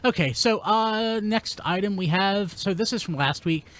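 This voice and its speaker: A man's voice: high-pitched, moderate pace, fairly bright tone. A man hosting a podcast in a casual, conversational way. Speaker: podcast host.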